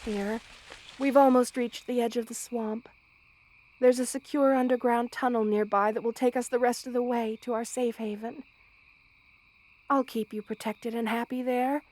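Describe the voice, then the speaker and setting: A woman playing a loving, protective spider queen in an ASMR roleplay, speaking tenderly and with gentle regal poise. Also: fairly high pitch, steady tone, normal speed, natural voice. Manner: tender, nurturing, gently regal, addresses listener with endearments. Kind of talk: ASMR roleplay, intimate character acting.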